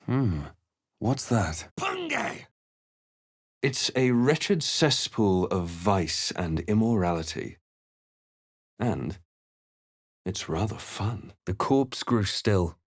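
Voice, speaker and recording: A man playing a composed, wry fantasy adventurer for a video game, speaking in a measured, literary, theatrical way. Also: fairly low pitch, sing-song, no echo, clean studio sound, full character voice. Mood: wry, alert, then intense incantation. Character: male fantasy adventurer hero narrating his exploits, composed, wry. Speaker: video game player-character voice actor. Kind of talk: dramatic fantasy game voice lines and narration.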